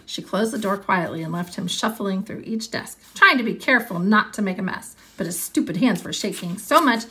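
A woman in her thirties reading a story aloud expressively like a teacher, pausing for short teaching asides. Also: mid-range voice, bright, forceful tone, breathy edge. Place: small indoor room with classroom alphabet wall strip. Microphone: phone at arm's length.

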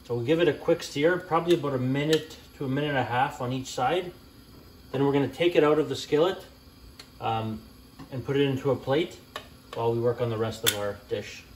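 A young man hosting a home cooking channel, talking through a recipe step by step in a relaxed, plain-spoken way with small fillers and self-corrections. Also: mid-range voice, a little fast, a little hiss or hum. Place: home kitchen at the stove.

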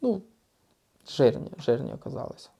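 Ukrainian soldier, man, warm low-key delivery, swears and hesitates. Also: very animated, vocal fry, breathy edge. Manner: casual, profane, halting with fillers. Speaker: soldier recounting an operation. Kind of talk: interview recollection.

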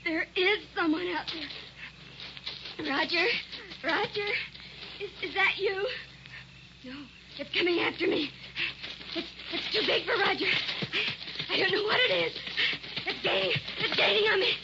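High-voiced frightened wife in old radio horror. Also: female voice, measured pace, long pauses. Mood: terrified, frantic. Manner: breathless, melodramatic, hesitant repetition. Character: frightened young wife, desperate and trusting. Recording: noisy muffled vintage radio recording.

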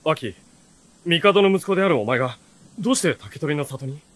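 An actor playing an earnest, sharp-witted teenage boy who is the hero and narrator of a radio drama. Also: fairly high pitch, expressive, normal speed. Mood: puzzled. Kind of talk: radio drama acting with first-person narration.

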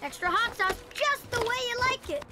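An actor voicing a plucky, excitable young boy superhero in an animated game. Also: extremely high pitch, normal speed. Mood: gleeful. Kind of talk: animated film game dialogue.

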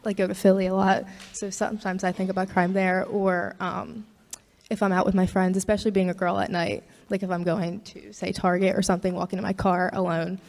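Young female student from New Jersey, vocal fry, reverberant hall. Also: medium pitch, level tone, a little fast, a little mellow. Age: young adult. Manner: chatty, lots of "like". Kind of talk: casual class discussion. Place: large lecture hall. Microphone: handheld mic.

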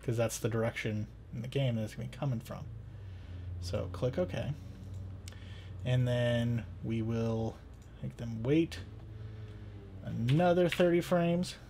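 A man's voice: mid-range voice, unhurried, vocal fry, pauses often, mellow, a little hiss or hum. A man in his thirties hosting a tutorial, talking casually through each step. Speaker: tutorial host.

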